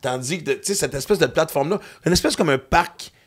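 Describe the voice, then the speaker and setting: Man from western canada, casual co-host, reflective, quick speech. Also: on the high side, lively intonation. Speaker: podcast co-host. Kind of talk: casual chat.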